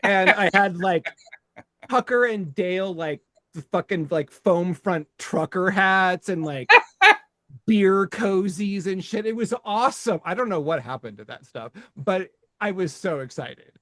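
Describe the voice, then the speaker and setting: A man's voice: high voice, animated, measured pace, fairly bright tone, no room sound, clean studio sound. A middle-aged man co-hosting a movie podcast, rambling casually with restarts and swearing. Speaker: podcast co-host.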